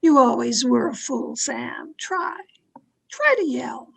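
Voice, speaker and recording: A woman acting a domineering, fearful, haunted wife in an old-time radio thriller, with an imperious, dramatic delivery full of halting dread. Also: a little high, lively intonation, gravelly, a bit bright, slightly rough, exaggerated voice. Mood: desperate, commanding.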